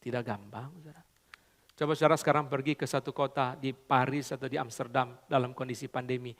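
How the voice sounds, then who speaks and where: A man preaching a sermon, swinging between loud high emphasis and low quiet asides, often slipping into English and casual slang. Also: steady tone, quick pace, creaky, in a large hall. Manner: repeats "saudara" constantly, mixes in English phrases and colloquial Jakarta slang. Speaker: pastor.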